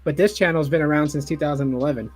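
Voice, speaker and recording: A man's voice: fairly high pitch, fast talker, breathy edge, soft background music. A man in his forties hosting a casual online chat, swearing freely and acting out quoted talk. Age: 40s. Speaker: YouTube host.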